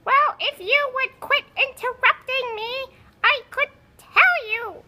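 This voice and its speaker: A woman's voice: very high voice, slow, sharp tone, exaggerated voice. A woman voicing a grumpy, conceited old talking puppet who lectures impatiently in an educational puppet show. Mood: exasperated, scolding. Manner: haughty, exasperated, scolding, lecturing.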